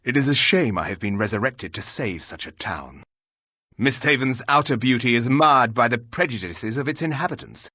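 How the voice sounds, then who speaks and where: An actor playing a proud, grim resurrected warrior in a fantasy game, speaking formally and curtly with a sardonic edge. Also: male voice, medium pitch, animated, normal speed, bright, forceful tone, dry recording, studio quality. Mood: scornful.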